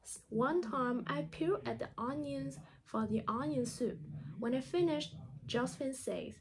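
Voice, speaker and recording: A woman speaks in careful, halting non-native English, in the style of a teacher reading a children's storybook aloud. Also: high-pitched, lively intonation, a crowd in the background.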